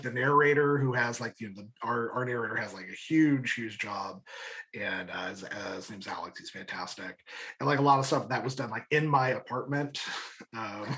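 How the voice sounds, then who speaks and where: A man's voice: mid-range voice, a bit bright. A man speaking casually as an interview guest, enthusiastic and informal, often repeating words and restarting his sentences. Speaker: guest, audio drama creator.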